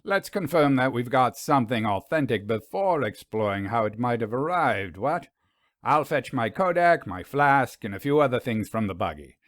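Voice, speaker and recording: Storybook professor, acted by a male reader: self-assured man, brisk, directing others, dry close sound. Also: mid-range voice, lively intonation, fairly bright tone, no echo, close to an everyday voice. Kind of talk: audiobook character dialogue.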